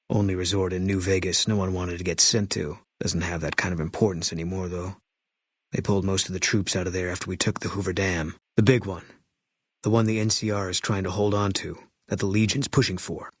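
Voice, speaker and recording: A haunted ex-sniper companion in a video game, who talks in short, guarded sentences. His voice is very low and husky, recorded dry and close with no room around it. His delivery is flat and curt, like a soldier who would rather not talk. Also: dry recording. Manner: terse, clipped, flat and guarded. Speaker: companion character. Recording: dry studio sound.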